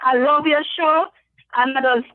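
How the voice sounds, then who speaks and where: A woman from Trinidad with a Trinidadian accent, calling in to a talk show and speaking hesitantly with many pauses. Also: sits high, fairly even intonation, no echo. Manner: hesitant, lots of ums. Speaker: caller. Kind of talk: phone call-in. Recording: phone line.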